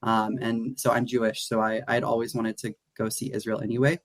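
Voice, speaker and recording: A man's voice: medium pitch, little pitch movement, fairly quick, no echo. A young man from Atlanta, talking casually as a guest with lots of restarts and "like" fillers. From Atlanta, USA. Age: young adult. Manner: rambling with frequent restarts and "like" fillers. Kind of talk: casual interview conversation.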